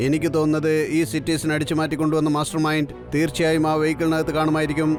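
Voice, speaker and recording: A man's voice: fairly high pitch, completely flat delivery, very fast, soft background music, natural voice. A man voicing an eager talking superhero car, a loyal sidekick in a dubbed children's cartoon. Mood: wondering.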